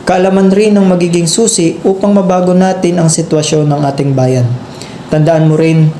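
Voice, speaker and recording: A protective young elder brother played by a man in an amateur radio drama. The voice is fairly high, mellow and earnest, recorded in a roomy space. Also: close to an everyday voice. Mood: reassuring, earnest. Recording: roomy sound with faint noise.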